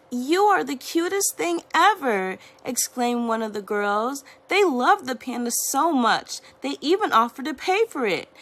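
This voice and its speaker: Woman teacher, storybook narrator; soft hushed delivery, animated pitch. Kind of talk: storybook read-aloud.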